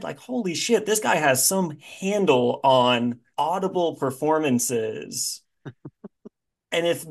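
A man hosting a podcast, chatting casually and effusively in an informal interview style. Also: fairly high pitch. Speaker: podcast host.

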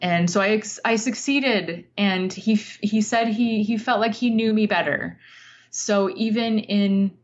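A woman being interviewed as a writer, speaking in a thoughtful, halting, reflective way. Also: mid-range voice, steady tone, highs cut off.

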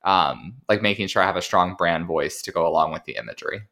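A man's voice: low voice, animated, fast talker, creaky, pushed and bright. A man guesting on a podcast over a call, chatting casually about his business with lots of fillers. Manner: chatty, informal, frequent "like" and "um". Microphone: remote call.

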